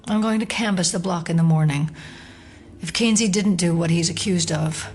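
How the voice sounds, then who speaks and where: A woman's voice: on the low side, moderate pace, darkish tone, a little hiss or hum, natural voice. An actress as a serious, uneasy female agent. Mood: serious, determined. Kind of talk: audio drama acting.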